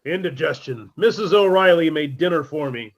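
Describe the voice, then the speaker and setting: A man's voice: fairly high pitch, expressive, normal speed. A man playing a shabby, self-pitying musician neighbor who delivers dry punchlines in a 1950s-style radio comedy.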